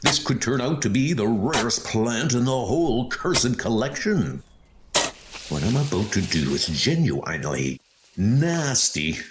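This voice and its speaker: A man's voice: mid-range voice, big pitch swings, unhurried, noisy background. Man acting out a gloating, scheming murderous heir. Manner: theatrical, gloating. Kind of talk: comic dub horror acting.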